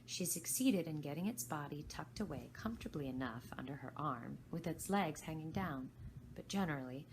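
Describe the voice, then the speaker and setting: A woman's voice: deep voice, lively intonation, normal speed, a little hiss or hum, poor audio. A woman reading a children's storybook aloud as a volunteer narrator.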